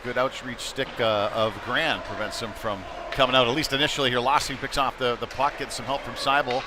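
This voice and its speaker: A man with a mid-range voice gives live hockey play-by-play in a conversational way that turns excitable on big plays. He is at an indoor rink, with clear arena noise and knocks behind him. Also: mid-range voice, a little fast. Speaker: play-by-play commentator.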